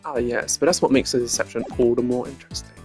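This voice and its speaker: A man voicing a composed, formal male prosecutor in a game, speaking in measured, deliberate deductions. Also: mid-range voice, fairly even intonation, darkish tone, close to an everyday voice. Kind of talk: fan voice acting of a game. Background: game music.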